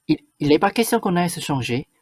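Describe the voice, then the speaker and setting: Flustered, bossy fairy-tale parent played by a woman: deep husky voice, stammering, radio drama. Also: animated, fast, darkish tone. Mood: firm. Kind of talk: stage-like radio play acting.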